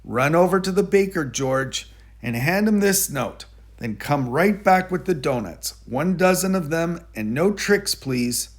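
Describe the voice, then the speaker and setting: A man voicing a brisk, firm, kindly male guardian instructing a child in a storybook, with emphatic delivery. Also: fairly high pitch, lively intonation, voice pushed up.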